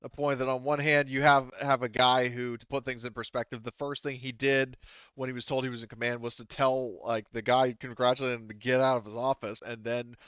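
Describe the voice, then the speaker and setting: A man running a tabletop roleplaying game, explaining casually and haltingly as he thinks aloud. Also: medium pitch, little pitch movement, fairly bright tone, clean tone, dry recording, highs cut off. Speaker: game master.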